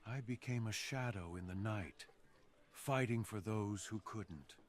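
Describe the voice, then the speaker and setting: Low-voiced, seductive fox assassin played by a man. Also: lightly acted. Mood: resolute, grave. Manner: flirtatious, earnest, dramatic pauses. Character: brooding, seductive male fox assassin, tipsy and smitten. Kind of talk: ASMR roleplay, slow intimate scripted monologue.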